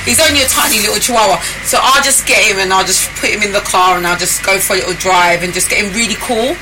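A woman's voice: mid-range voice, fast, poor audio. A woman from the north of England hosting a casual studio chat in a bubbly, chatty way. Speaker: host. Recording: music playing behind.

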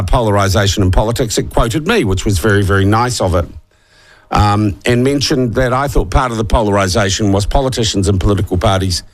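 A middle-aged man with a New Zealand accent, husky from a head cold, hosting a talk radio show in a sarcastic, opinionated monologue. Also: lowish voice. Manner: sarcastic, scornful asides.

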